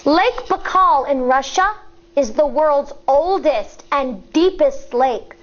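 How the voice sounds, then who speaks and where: An American woman with a distinctly high voice reads a picture book to children. Her pace is very measured and her delivery is instructive, like a teacher explaining things step by step. The recording is slightly dull and lo-fi. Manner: deliberate, instructive, addressing young children. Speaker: elementary school teacher reading aloud.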